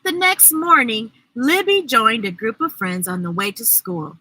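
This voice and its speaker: A woman reading a children's story aloud, expressive and deliberate, adding her own asides. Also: on the high side, rough recording. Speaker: storyteller, host.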